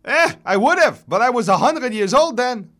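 An ancient, complaining New Yorker in a dramatized short story. The voice is high, reedy and chirpy, with a Yiddish-inflected accent. It jumps around in pitch with vigorous, snappy diction. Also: male voice, very animated, full character voice. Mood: indignant, needling. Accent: Yiddish-inflected New York. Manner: quick, vigorous, sharp diction.

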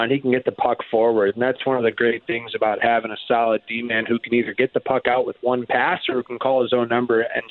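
A man talking sports as a radio play-by-play guest, conversational and wordy, with a folksy, self-deprecating way. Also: medium pitch. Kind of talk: conversational sports interview commentary. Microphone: phone. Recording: muffled, band-limited phone line.

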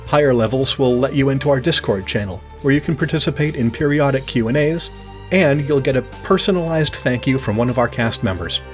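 A man's voice: medium pitch, brisk, soft background music. A man presenting a scripted promotional appeal for listener support of a show.

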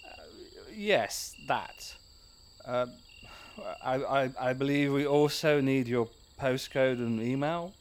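An actor playing a pompous small-town mayor who leads a secret lodge, theatrical and commanding but prone to fussiness, in comedy-horror audio drama. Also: male voice, medium pitch, slow pace, a little hiss or hum, lightly acted. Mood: flustered, fussy. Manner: theatrical, commanding, then awkwardly fussy.

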